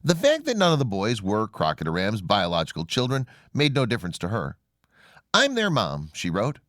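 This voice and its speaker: A man's voice: on the low side, wildly swooping pitch, moderate pace, a bit bright, no echo, clean studio sound. A man narrating a scripted human-interest story with a polished, dramatic storytelling delivery.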